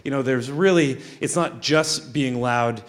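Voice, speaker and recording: A man's voice: mid-range voice, a little slow. Earnest man answering on a panel. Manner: earnest, many ums and restarts. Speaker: legislator on a panel.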